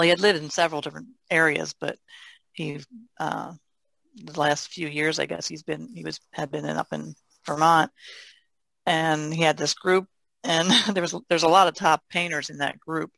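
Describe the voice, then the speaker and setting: A woman speaking as a podcast guest in casual conversation, halting and reflective. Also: low voice, level tone, gravelly, fairly bright tone, no room sound.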